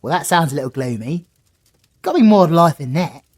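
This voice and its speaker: A woman's voice: deep voice, animated, a little fast, darkish tone. A woman voicing a chatty, breezy wandering traveller in a fantasy audio drama. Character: cheerful wandering traveller with a horse.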